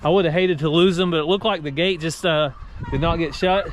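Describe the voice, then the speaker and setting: A man with a fairly high voice talks casually in a plain, folksy way, as if to family viewers of a farm vlog. The recording is handheld, with some background noise. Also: steady tone, a little fast. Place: outdoors on a farm.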